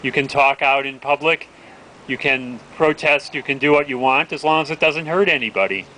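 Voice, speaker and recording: A man with a bright, forceful voice of medium pitch addresses a public gathering. He is plain-spoken and informal, with faint street noise around him. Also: normal speed, low-quality recording. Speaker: event announcer and speaker. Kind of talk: informal rally speech. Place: outdoor town plaza. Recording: outdoor street noise behind.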